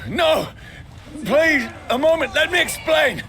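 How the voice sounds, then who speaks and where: A man's voice: very high voice, expressive, slow pace, exaggerated voice. An actor playing an anguished, bewildered man newly turned vampire, desperate and pleading, in a dramatic video game style.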